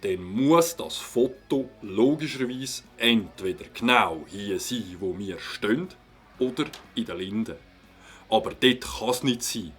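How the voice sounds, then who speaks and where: Husky, authoritative Swiss German police captain. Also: male voice, lowish voice. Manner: formal, authoritative. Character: firm, methodical male police captain questioning suspects. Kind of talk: children's audio drama acting.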